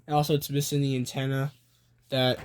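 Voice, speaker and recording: A man's voice: mid-range voice, little pitch movement, fairly quick, clean tone. A man giving casual show-and-tell commentary, thinking aloud in a hesitant way. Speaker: video creator showing a collection.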